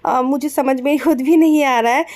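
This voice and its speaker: A young woman from Bihar, raised in Kolkata, narrating her casual family vlog softly and gently because of a sore throat, chatty and unhurried with many fillers. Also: sits high, fairly even intonation. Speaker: vlogger.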